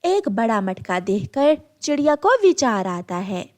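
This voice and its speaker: A woman's voice: medium pitch, very animated, unhurried, a little mellow. A woman narrating a scripted children's story as its storyteller.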